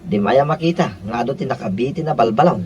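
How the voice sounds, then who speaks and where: A man's voice: mid-range voice, expressive, fairly quick, highs cut off, background noise, close to an everyday voice. A Filipino man with an Ilocano accent playing a chummy office buddy in a radio comedy drama. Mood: chatty.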